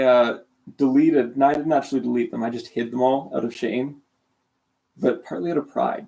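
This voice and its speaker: Gravelly, sleepy young Canadian guy. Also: mid-range voice, a little mellow, rough recording. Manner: rambling, self-deprecating, many restarts. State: sore throat, sleepy. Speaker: streamer. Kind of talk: casual livestream chat.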